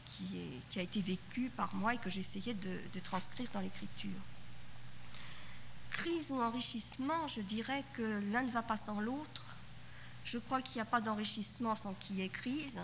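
Middle-aged woman, halting, at a conference. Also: fairly high pitch, vocal fry. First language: Yiddish. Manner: thoughtful, halting, many restarts and fillers. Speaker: panelist at a literature conference roundtable. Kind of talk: spoken reflection at a conference panel. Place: conference hall. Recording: 1990s cassette tape in a hall, faint hiss.

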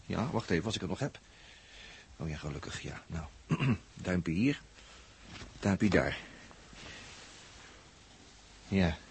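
An actor playing an excitable, brooding spaceship crewman who stammers and searches for words in a 1960s radio drama. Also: deep voice, a little slow, slight background noise, full character voice. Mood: searching, murmuring.

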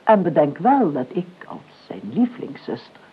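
Sardonic older aunt, tinny old recording. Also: female voice, fairly low pitch, very animated, exaggerated voice. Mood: calculating. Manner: measured, dry, drawing out key words. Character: sardonic, calculating older aunt, sharp-tongued and imperious. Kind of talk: Dutch radio play acting. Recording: tinny, band-limited old radio recording, clear noise.

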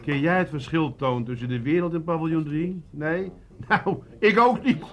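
An actor playing a dry, sardonic, long-suffering grown son in a comic radio drama. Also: male voice, on the high side, normal speed, music in the background, lightly acted. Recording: clearly noisy radio play recording.

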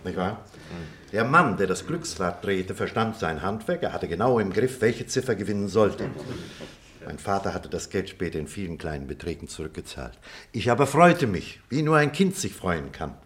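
Reflective deep-voiced middle-aged man. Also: sing-song, moderate pace, a bit bright, a little hiss or hum. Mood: tender reminiscing. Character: reflective middle-aged former pupil telling a childhood memory. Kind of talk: theatrical radio play dialogue and storytelling.

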